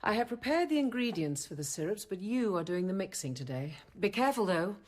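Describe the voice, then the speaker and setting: An actress playing a composed, dryly witty convent apothecary nun in a radio drama, measured and articulate. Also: female voice, mid-range voice, very animated, darkish tone, slight background noise, close to an everyday voice. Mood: brisk, instructive. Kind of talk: radio drama acting and narration.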